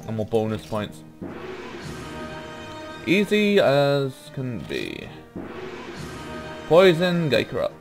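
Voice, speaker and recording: A man's voice: medium pitch, wildly swooping pitch, takes it slowly, gravelly, pauses often, over music. A young Welsh man giving rambling, laid-back let's play commentary, trailing off with ums as he goes through menus. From Wales. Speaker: gaming YouTuber.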